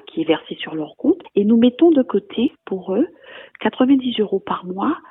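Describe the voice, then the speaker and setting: Husky formal woman on a lo-fi line. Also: medium pitch. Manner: steady, explanatory, formal. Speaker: director of a youth training centre. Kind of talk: radio interview answer.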